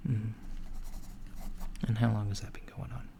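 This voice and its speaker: A man voicing a calm alien doctor in a soft-spoken, half-whispered ASMR roleplay, gently asking a human patient clinical questions. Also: on the low side, moderate pace, vocal fry, a little hiss or hum. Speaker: ASMR creator.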